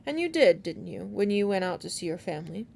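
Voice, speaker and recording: Woman reading as a cold, creaky teenage demon hunter boy. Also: mid-range voice, sing-song, a little fast, darkish tone, a little hiss or hum. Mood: accusing, confident.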